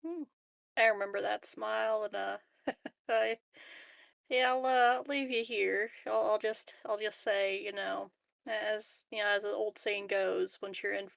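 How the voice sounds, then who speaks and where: A woman's voice: mid-range voice, steady tone, measured pace, creaky, fairly bright tone, no room sound. A woman playing a supportive, hesitant, slightly giggly colleague in an improvised tabletop roleplay. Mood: awkwardly encouraging. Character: supportive, reassuring female colony colleague named Simone.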